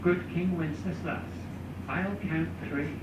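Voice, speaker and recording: A man voicing an excitable, mischievous young boy in a story, eager and playful. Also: fairly high pitch, takes it slowly, highs cut off, pitched above the performer's own voice. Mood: hushed conferring. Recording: echoey, distant, loud background noise.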